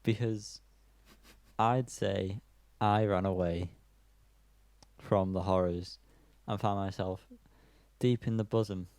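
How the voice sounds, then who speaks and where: Low-pitched man chatting about music. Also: steady tone, takes it slowly, a little mellow, dry recording. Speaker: music podcast co-host. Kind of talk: casual music review chat.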